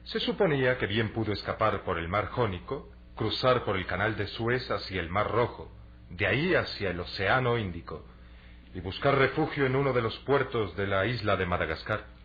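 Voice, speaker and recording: A man playing an earnest young adventurer in a Latin American Spanish radio drama, explaining things deliberately and persuasively. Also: lowish voice, lively intonation, fairly bright tone. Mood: explanatory. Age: young adult. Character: earnest young athletic adventurer selling a treasure hunt. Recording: dull, lo-fi radio drama recording.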